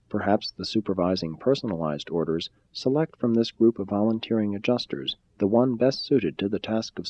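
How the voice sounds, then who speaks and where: Low-voiced man reading formally, mellow tone. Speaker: audiobook-style reader. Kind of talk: formal scripted reading of a spiritual text. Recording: slightly dull, muffled sound.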